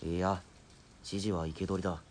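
A man's voice: low voice, little pitch movement, normal speed, darkish tone, natural voice. An actor playing a captured military policeman in an audio drama, defiant but cornered, blustering and grudging.

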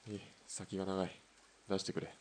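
An actor playing a calm, steady young army sniper in a radio drama, speaking tersely and without hurry. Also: male voice, low voice, slight background noise, natural voice. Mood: calm, chiding. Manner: terse, unhurried military speech.

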